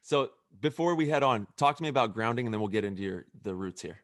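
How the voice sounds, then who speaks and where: Low-voiced man hosting a podcast. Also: lively intonation, fast, fairly bright tone, dry recording, clean studio sound. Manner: earnest, leads questions. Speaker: podcast host. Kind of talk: podcast interview.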